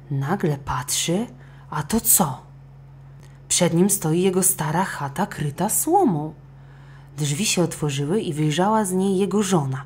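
A woman's voice: low-pitched, darkish tone, a little hiss or hum. A woman narrating a scripted children's fairy tale audiobook expressively. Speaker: storyteller.

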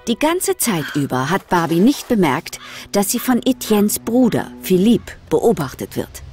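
A woman's voice: medium pitch, very animated, unhurried, darkish tone. A woman narrating a children's audio story from a script. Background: music.

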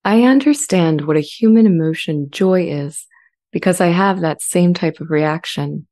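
Woman as gentle sentient AI, earnest, deliberate, mellow female voice, slightly low, scripted drama reading. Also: natural voice. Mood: earnest, calm. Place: indoor home studio. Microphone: large studio condenser with pop filter, close.

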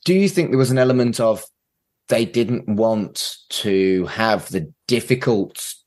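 A man's voice: on the low side, expressive, measured pace, darkish tone. A man hosting a casual pop-culture discussion, opinionated and sweary, often putting questions to his guests. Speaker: podcast host.

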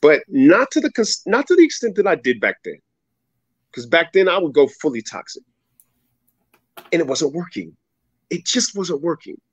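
A man in his thirties tells his story on a video call, an animated, dramatic storyteller whose voice jumps high when he mimics others. The sound is dull and dry, like a home webcam mic. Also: moderate pace, pauses often, slightly rough, no room sound. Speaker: guest speaker. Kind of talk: storytelling interview answer. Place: home room with ceiling fan, indoors.

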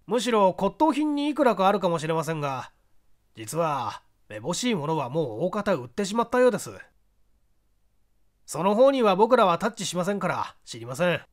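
A man's voice: high-pitched, big pitch swings, normal speed. A man voicing a forthright businessman giving conversational testimony in a mystery story. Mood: matter-of-fact. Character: male business associate giving forthright, irritated testimony to investigators.

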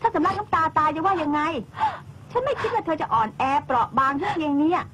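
A blunt, outspoken friend. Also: female voice, extremely high pitch, sing-song, fast, a little hiss or hum, full character voice. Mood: scolding. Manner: sharp, scolding and insistent.

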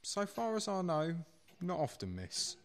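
A man playing a polite, deferential manservant in a radio play, measured and discreet. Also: high voice, sing-song, full character voice. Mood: guarded, courteous.

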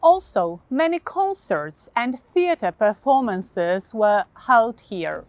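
Woman, 30s, Polish accent, slow deliberate delivery, fairly high voice, dry lapel sound. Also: sing-song. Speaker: guide. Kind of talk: scripted historical presentation to camera. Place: outdoors by a modern building. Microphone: lapel mic.

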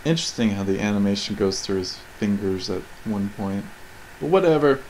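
A man's voice: lowish voice, animated, brisk, a little mellow, a little hiss or hum, rough recording. A man giving casual, opinionated commentary on game cutscenes, rambling and musing in questions. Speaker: video game reviewer. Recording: voice-over with game music behind.

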